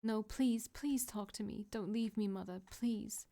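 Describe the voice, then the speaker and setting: A woman's voice: mid-range voice, a little slow, mellow, lightly acted. A woman voicing a desperate, broken young woman who pleads through tears. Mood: tearful pleading. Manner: pleading, tearful.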